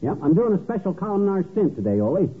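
An actor playing a blustery, self-satisfied husband acting as advice columnist in a folksy, boastful manner, in 1940s radio comedy style. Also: male voice, high voice, very animated, very fast, breathy edge, heavily acted. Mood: breezy, boastful. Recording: noisy, tinny old radio broadcast recording.

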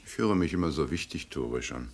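Very low, grumbling police inspector. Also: male voice, animated, fairly quick, exaggerated voice. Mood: skeptical. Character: dry, skeptical male police inspector. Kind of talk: radio drama acting.

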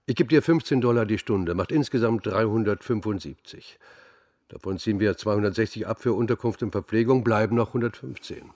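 An older actor playing a gruff, laconic but kindly old man in a radio drama, speaking matter-of-factly. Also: on the low side, a little fast, darkish tone, close to an everyday voice. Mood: gruffly generous.

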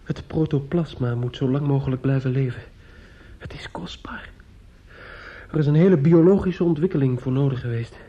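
A man acting in a Dutch radio play as a grieving, bitter young medical student who drinks, speaking in halting, repetitive rambles. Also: medium pitch, warm tone, close to an everyday voice. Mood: rambling despair. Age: young adult. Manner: halting, rambling, repeats himself. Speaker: radio drama actor. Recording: muffled old radio play recording.

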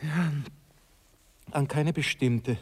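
An actor playing a smitten, prying gentleman seducer with a Viennese accent, hesitant and coaxing, in a 1960s radio play. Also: fairly high pitch, steady tone, slow pace. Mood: smitten, inquisitive. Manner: hesitant, coaxing, inquisitive, murmured asides.

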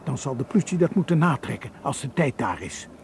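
A sober, protective man who speaks in a measured, admonishing way, as in a radio drama. His pitch is average for a man, with faint noise behind him. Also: very animated, creaky. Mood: worried, warning. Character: cautious, protective male colleague, sober and worried. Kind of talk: Dutch radio drama acting.

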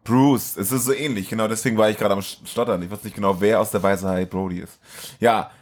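A man's voice: on the low side, fairly even intonation, fast. A man co-hosting a podcast, recapping and chatting about a film in a casual, colloquial way. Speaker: podcast co-host.

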